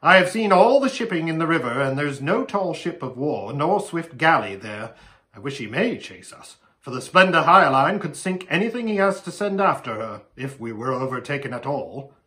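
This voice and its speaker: Man playing a bright-voiced resolute young king. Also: a little high, sing-song, moderate pace. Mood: confident. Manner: formal, heroic. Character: brave, resolute young king, noble and confident.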